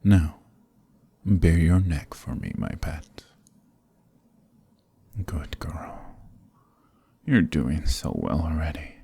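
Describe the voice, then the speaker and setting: Man acting a very deep, breathy vampire lord. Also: warm tone, exaggerated voice. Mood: smug, possessive. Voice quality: breathy, husky, often dropping to a whisper. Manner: slow, commanding, condescendingly tender. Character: centuries-old male vampire lord, possessive, sadistic, silkily domineering. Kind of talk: intimate ASMR roleplay acting.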